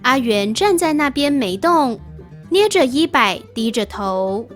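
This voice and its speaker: Female reader for scripted story lines: high-pitched, very lively melody. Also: unhurried, soft background music. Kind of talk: scripted reading of story sentences.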